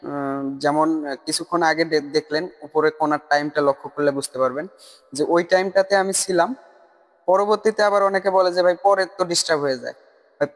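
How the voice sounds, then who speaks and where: A man giving a casual, insistent explanatory voice-over for a tutorial video. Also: high voice. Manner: insistent, repetitive, addressing viewers as brother. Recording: long reverb on the voice. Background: voice-over recorded separately from the screen capture.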